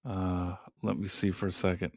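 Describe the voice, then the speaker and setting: A man's voice: deep voice, monotone, normal speed, dry recording, studio quality. A man co-hosting a casual live stream, rambling and trailing off into restarts as he reads viewer messages. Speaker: co-host.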